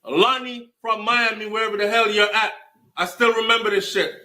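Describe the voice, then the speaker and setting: A man's voice: sits high, fairly even intonation, normal speed, pushed and bright. A man from Jamaica with a Jamaican accent telling a personal story emphatically, slipping into patois and swearing freely. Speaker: storyteller recounting own life. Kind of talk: animated personal storytelling to camera.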